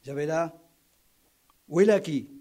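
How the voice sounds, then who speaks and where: A man's voice: on the high side, slow, mellow. A man acting in a radio drama workshop as a menacing yet soothing character, insistent and self-justifying, addressing his listener formally.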